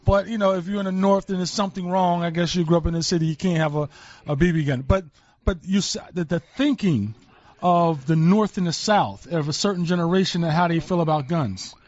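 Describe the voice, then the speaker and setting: A man with a fairly high voice hosts a casual radio interview on location. He is chatty and repeats answers back to his guests. The sound is dry and a little dull. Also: a little fast, a little mellow, dry recording. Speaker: radio show host. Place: inside a sport shop with shooting range.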